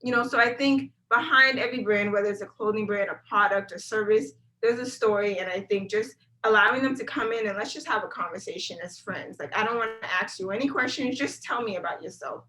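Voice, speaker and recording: Woman, from South Florida, podcast guest, energetic, repeats words, bright tone. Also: mid-range voice, a little fast, few pauses, rough recording. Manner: energetic, repeats words when thinking, conversational. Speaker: guest, brand videographer. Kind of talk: casual interview chat.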